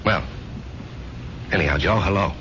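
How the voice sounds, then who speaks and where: An American actor in a 1970s radio drama, playing an earnest, gentle, self-doubting salesman in a halting, heartfelt way. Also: deep voice. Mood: earnest, insistent. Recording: muffled, noisy old radio broadcast.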